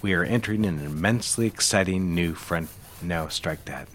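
An actor playing a controlling, smooth advertising boss in a period office drama, speaking in a measured, insistent and commanding way. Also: male voice, low-pitched, a bit bright. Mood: businesslike, brisk. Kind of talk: period audio drama acting.

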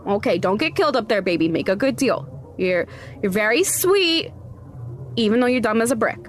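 A high-voiced, brash hotel concierge for an audio drama. She is fond, teasing and foul-mouthed, stumbling over words as her pitch swoops around. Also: big pitch swings, normal speed, faint music behind. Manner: stammering, salty, teasing.

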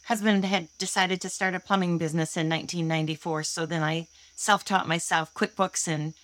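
A woman's voice: fairly low pitch, steady tone, brisk, a bit bright. A woman in her sixties from Michigan, telling her own story earnestly and candidly as a guest on an interview show. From Holland, Michigan, USA. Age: 60s. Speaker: guest inventor.

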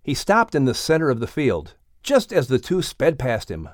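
A man narrating a children's animal story as an audiobook reader, in an expressive scripted style. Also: medium pitch, moderate pace, no echo, clean studio sound.

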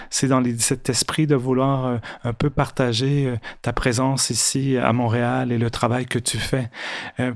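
A man's voice: medium pitch, no room sound. A man hosting an interview, asking questions with fillers and self-corrections. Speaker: interview host.

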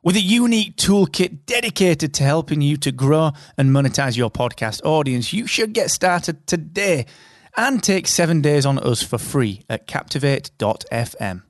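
A male announcer with a slightly high voice reads a sponsor spot. His delivery is smooth and upbeat, and the sound is close and dry. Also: lively intonation, moderate pace, no echo. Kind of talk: scripted sponsor read.

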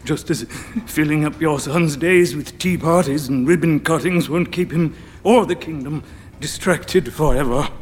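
A man's voice: a little high, unhurried, slight background noise. A man playing a long-suffering old Lord Chamberlain in an audio drama, formal, deferential and drily ironic. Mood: drily reproachful. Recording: long hall reverb.

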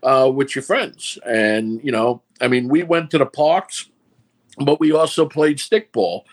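A man's voice: medium pitch, a little slow. New York man explaining filmmaking. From New York City. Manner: rambling, explains step by step. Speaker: filmmaker guest. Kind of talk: casual podcast chat.

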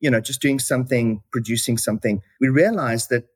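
A man's voice: medium pitch, brisk, no room sound. Guest: South African man, reflective, filler-heavy answers, dead-dry recording. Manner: reflective, with many fillers like "you know". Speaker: company chief executive, guest. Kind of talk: interview answers.

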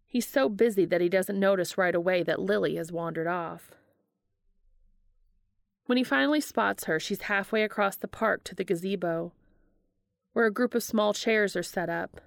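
A woman's voice: medium pitch, normal speed, creaky, no room sound, studio quality. A woman reading scripted fiction aloud as an audiobook narrator. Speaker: fan fiction audiobook reader.

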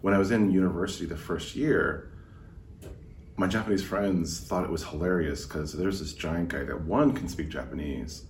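A man from the United States, a podcast guest telling stories in a relaxed conversational way. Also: sits low, moderate pace, echoey room, recorded from a distance, slight background noise. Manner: relaxed storytelling with fillers. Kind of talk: casual conversation.

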